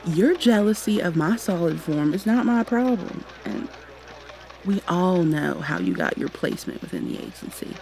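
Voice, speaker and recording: A woman's voice: on the low side, big pitch swings, normal speed, vocal fry, darkish tone, slight background noise, low-quality recording. A woman playing a proud, exasperated goo girl special agent with prim, formal wording in an ASMR roleplay. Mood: indignant. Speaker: voice actor in an audio roleplay.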